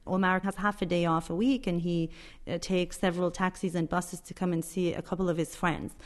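A woman's voice: on the low side, fairly even intonation, a little fast. A woman speaking as a reporter in an interview, explaining things in an earnest, emphatic way. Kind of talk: spoken radio interview, explanatory.

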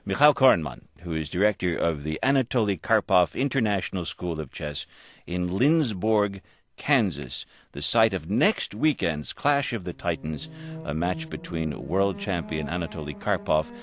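A man hosting a public radio interview, with a wry manner and drawn-out emphasis on words. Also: deep voice, expressive. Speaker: radio host.

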